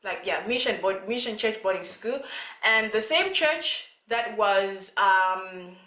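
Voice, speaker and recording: A young woman from Zimbabwe talks casually to her viewers in a medium-pitched voice, meandering through her thoughts with frequent fillers and false starts. The room around her is noticeably echoey. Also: expressive, slow, bright, forceful tone. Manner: chatty, rambling with many fillers and restarts. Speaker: vlogger. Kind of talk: casual talk to camera. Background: child talking in the background.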